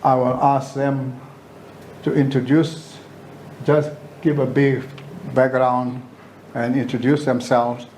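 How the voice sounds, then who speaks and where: Male official at a press conference, unhurried and halting, mid-range voice, faint noise behind. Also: mid-range voice, flat delivery, a little mellow, low-quality recording. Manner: halting, deliberate, with pauses mid-sentence. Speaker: football association official chairing a press conference. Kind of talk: informal press conference address.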